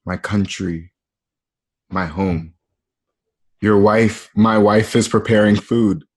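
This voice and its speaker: A brooding man of ancient Rome, acted by a man in an audio drama. He speaks slowly in a fairly low voice, weighing each short phrase with soulful feeling. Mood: wistful. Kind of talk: dramatic script reading.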